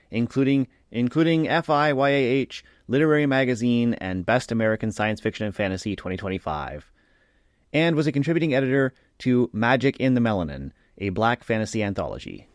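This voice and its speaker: A man's voice: mid-range voice, animated, no echo, studio quality. A man hosting a podcast interview, reading a prepared introduction and then talking loosely with fillers. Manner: reads a scripted intro, then chats with pauses and fillers. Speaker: podcast host.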